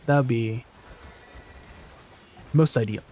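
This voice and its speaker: A man's voice: medium pitch, slow, warm tone. A man live-streaming, chatting casually with viewers and thinking aloud with lots of fillers and restarts.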